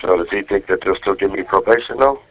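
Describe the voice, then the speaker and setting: A low male voice comes through a dull, lo-fi phone line. He chats casually and slangily, with a coaxing, rough-worded way of putting things. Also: fast. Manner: slangy, profane, cajoling. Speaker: jail inmate making a phone call. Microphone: telephone.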